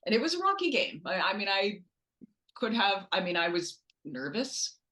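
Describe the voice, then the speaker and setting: A woman chatting casually about sports as a podcast guest, excitable and joking as she tells stories. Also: medium pitch, normal speed. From Canada. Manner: excitable, animated storytelling, joking.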